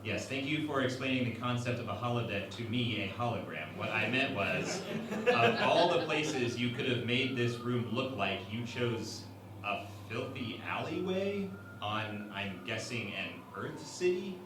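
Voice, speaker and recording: Distant and very echoey, a man plays a humanlike hologram crewman in a sci-fi parody. He sounds incredulous and questioning, with curious, mild sarcasm and clear noise behind him. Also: medium pitch, lively intonation, measured pace, lightly acted. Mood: exasperated sarcasm. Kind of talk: parody sci-fi radio play acting. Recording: very echoey, distant mic, noisy.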